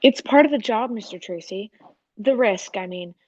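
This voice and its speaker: A woman's voice: mid-range voice, animated, normal speed, dull audio, close to an everyday voice. A woman playing a snippy, defiant circus animal trainer in a 1940s-style radio drama. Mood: defensive.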